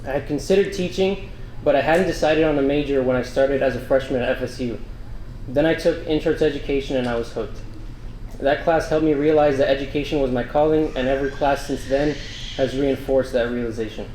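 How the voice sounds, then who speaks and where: An earnest young man delivers a prepared, grateful speech at a podium in a large banquet room. His voice sits at an ordinary pitch and carries a roomy echo, with faint ambience behind it. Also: fairly quick, a little mellow, slight background noise. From Miami, Florida. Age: young adult. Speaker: scholarship student speaker. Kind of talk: prepared speech at a breakfast event. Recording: echoey hall, faint ambience.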